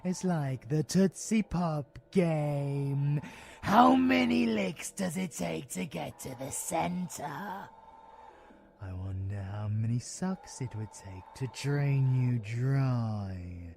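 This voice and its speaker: A British man playing a predatory, mocking vampiric abomination in a villain audio roleplay, savoring his phrases theatrically and slipping into breathy whispers. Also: mid-range voice, animated, slow. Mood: taunting, sadistic.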